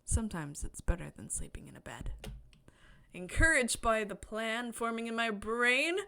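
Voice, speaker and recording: A woman game streamer expressively reading a visual novel aloud, breaking into excited, chatty asides. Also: fairly high pitch, normal speed, creaky. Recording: music behind the voice. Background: game music.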